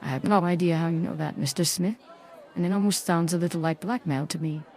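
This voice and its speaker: A woman's voice: fairly low pitch, fairly quick, darkish tone, a faint crowd, lightly acted. Woman as a wry young female private detective. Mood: reluctant. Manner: dry, wry, formally polite. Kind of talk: video game dialogue and narration.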